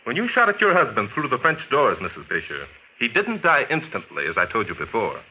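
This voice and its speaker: A man playing a shrewd, confident gentleman sleuth, crisp and explanatory in 1940s radio style. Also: medium pitch, wildly swooping pitch, a little fast. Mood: accusing. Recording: tinny, hissy 1940s radio recording.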